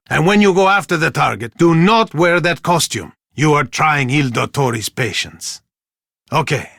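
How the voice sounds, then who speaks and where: A smooth Venetian card shark and shady broker for a video game. His Italian-accented voice sits a little high for a man and swings widely in pitch. He is formal and flirtatious, recorded close and dry. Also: a bit bright, dry recording, heavily acted. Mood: exasperated. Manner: suave, formal, courteous. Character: charming, flirtatious, superstitious Venetian male card-playing crime broker. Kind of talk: theatrical video game dialogue.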